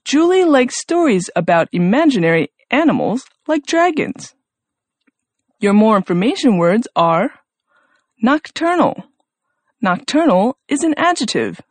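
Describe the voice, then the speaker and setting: Brief: female vocabulary teacher, animated sing-song delivery, close dry sound. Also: on the high side, no echo. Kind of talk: scripted lesson reading.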